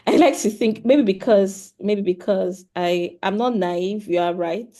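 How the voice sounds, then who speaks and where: A woman's voice: medium pitch, slow, mellow. A woman speaking thoughtfully as a speaker in an online panel discussion. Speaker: webinar speaker. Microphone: video call.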